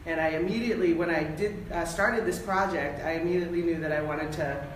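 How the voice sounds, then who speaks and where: A woman's voice: fairly low pitch, fast talker. A woman from the US East Coast hosting a live interview stream, upbeat and bubbly with many ums. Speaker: podcast host. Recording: echoey room with light hiss.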